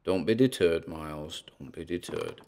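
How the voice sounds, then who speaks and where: A man playing a nervous, obsessive paranormal investigator who mutters a halting running commentary into a recorder in a found-footage horror audio drama. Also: sits low, animated, creaky, background noise. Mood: cautious, frightened. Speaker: voice actor.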